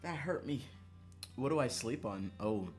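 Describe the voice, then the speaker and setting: A game streamer chatting casually and excitably, swearing freely and swinging between calm asides and mock-angry outbursts. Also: medium pitch, unhurried. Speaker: livestreamer. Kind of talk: casual chat while playing a visual novel. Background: background music.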